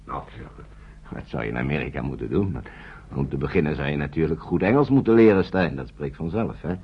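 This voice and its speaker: An actor playing a kindly older gentleman who looks after foster children in a radio drama, speaking courteously and hesitantly, repeating himself. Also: very deep voice, lively intonation, brisk, breathy edge, exaggerated voice. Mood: earnest, gentle. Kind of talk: naturalistic radio play acting. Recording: dull, lo-fi old radio play recording.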